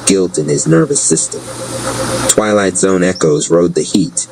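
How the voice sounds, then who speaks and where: A man with a very low voice delivers scripted spoken-word narration for a dark fantasy story. His delivery is solemn and dramatic. The recording carries clear noise. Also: unhurried.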